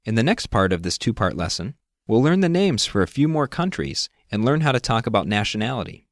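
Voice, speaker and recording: A man's voice: lowish voice, sing-song, brisk, no echo, studio quality. A man hosting a scripted language lesson, explaining step by step and prompting the listener with questions. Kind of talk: scripted instructional narration.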